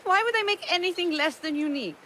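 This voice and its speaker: Game role: wry female weaponsmith, sharp bright tone, assured, faint noise in the background. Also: extremely high pitch, animated, fast talker. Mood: proud, teasing. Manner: teasing, assured, blunt. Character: proud, wry woman weaponsmith of an assassin camp. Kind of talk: dramatic video game acting.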